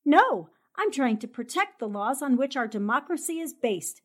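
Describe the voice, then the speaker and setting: An actress playing a fearless, sharp-tongued 1940s newspaperwoman in a radio drama, brisk and commanding. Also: sits high, sing-song. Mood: taunting, defiant.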